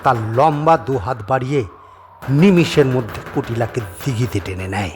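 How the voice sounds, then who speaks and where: A man narrating a scripted horror story dramatically, with suspenseful pauses, over atmospheric ambience. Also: medium pitch, darkish tone. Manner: suspenseful, theatrical phrasing with pauses. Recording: faint background noise and ambience.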